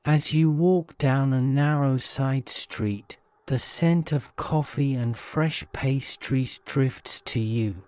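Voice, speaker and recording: A man narrating a guided-imagery sleep story in a soothing, measured manner. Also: medium pitch, a little mellow, clean tone, no room sound.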